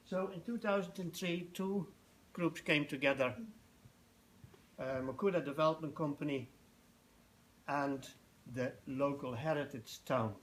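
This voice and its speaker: An older man with a Dutch accent giving an informal public talk in a halting, anecdotal way. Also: fairly high pitch, rough recording. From Netherlands. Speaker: festival organiser giving a presentation. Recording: noticeable background noise, live talk.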